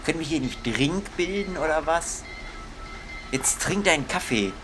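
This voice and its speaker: A man's voice: on the high side, lively intonation, normal speed, music in the background. A young man giving casual gaming commentary in a grumbling, comically exasperated manner. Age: young adult. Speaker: let's player.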